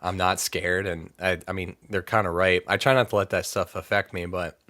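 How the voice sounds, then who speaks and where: A man talking casually in an interview, relaxed and halting, often restarting his sentences. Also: sits low, no echo, clean studio sound. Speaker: guest vocalist.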